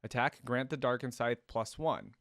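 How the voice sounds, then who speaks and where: A man's voice: medium pitch, moderate pace, fairly bright tone, no room sound, studio quality. A man giving casual, informal reaction commentary and chatting to his viewers. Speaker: reaction video host. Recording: music behind the voice. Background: game trailer music.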